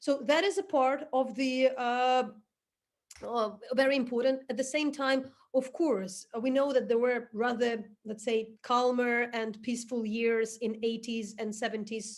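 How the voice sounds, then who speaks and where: A woman's voice: a little high, steady tone, normal speed. A woman journalist from Ukraine with a Ukrainian accent, speaking earnestly on a panel with some fillers. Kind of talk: panel discussion.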